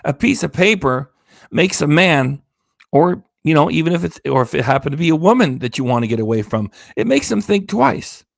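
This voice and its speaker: A man's voice: fairly high pitch, lively intonation, a little fast, gravelly, no echo, clean studio sound. A man hosting a reaction livestream, ranting with sarcasm and swearing, tired and losing his voice. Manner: sarcastic, indignant, swears often. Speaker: reaction channel host.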